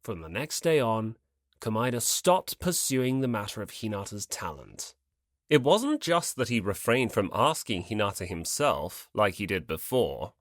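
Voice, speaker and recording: An audiobook narrator reading a scripted story in an expressive, dramatic way. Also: medium pitch, no echo, clean studio sound.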